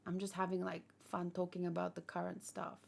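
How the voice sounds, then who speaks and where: A woman's voice: fairly low pitch, completely flat delivery, a little fast, vocal fry, darkish tone. A woman hosting a livestream, chatting casually and thinking aloud in a rambling way, tired from a long session. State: tired after hours of streaming. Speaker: livestream host. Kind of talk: casual chat, fan theorizing to live viewers.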